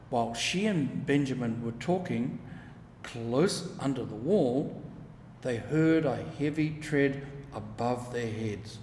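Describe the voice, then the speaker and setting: Slow echoey male storybook narrator. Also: a little high, darkish tone. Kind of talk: scripted children's story reading. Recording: echoey reverberant room with clear background hiss.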